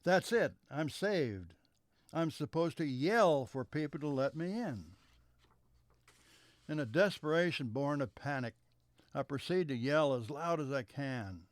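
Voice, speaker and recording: A man reading a childhood memoir aloud in a measured storytelling manner, letting sentences trail off softly at their ends. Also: fairly high pitch, expressive, no room sound.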